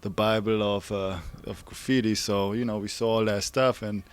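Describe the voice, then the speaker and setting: A man from Germany, a graffiti artist, answering in an unhurried way while searching for words. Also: lowish voice, fairly even intonation, clear, resonant tone. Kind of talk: casual interview answers.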